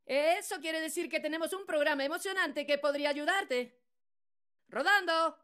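A man's voice: very high voice, normal speed, bright, forceful tone. A man voicing a friendly, easily exasperated tomato host in a Latin American Spanish cartoon dub, chatty and flustered. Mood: explanatory. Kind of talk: dubbed cartoon acting.

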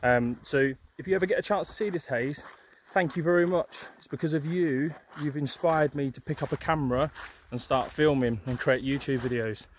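A man's voice: fairly high pitch, normal speed, few pauses, slight background noise. A young man chatting casually and upbeat to a handheld camera while hiking on an open hillside. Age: young adult. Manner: chatty, upbeat, many fillers. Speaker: outdoor vlogger. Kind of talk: casual walking vlog. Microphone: handheld camera at arm's length.

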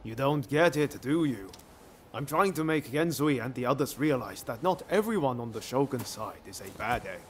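A man's voice: medium pitch, expressive, moderate pace, slight background noise, lightly acted. An actor playing a cocky, idealistic young swordsman in a video game, breezy and self-assured. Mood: confident, earnest. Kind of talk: video game cutscene acting.

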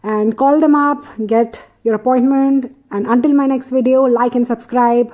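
A woman's voice: sits high, fairly even intonation, moderate pace, dark tone, lo-fi sound. A woman from India chatting casually as a vlogger while getting her nails done indoors, filming on a phone. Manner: chatty, recommending, self-correcting. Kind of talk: casual vlog narration.

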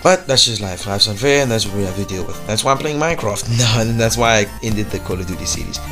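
A man's voice: on the low side, animated, quick pace, over music. A young man casually commentating a mobile game, rambling and joking in slangy chat. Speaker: gaming YouTuber.

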